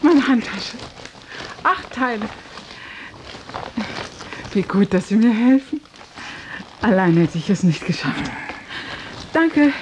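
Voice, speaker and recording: Fussy, self-pitying traveller woman, high voice, radio play, faint noise behind. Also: sing-song, slow pace, long pauses. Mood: fussy, chatty. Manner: fussy, plaintive. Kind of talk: radio drama acting.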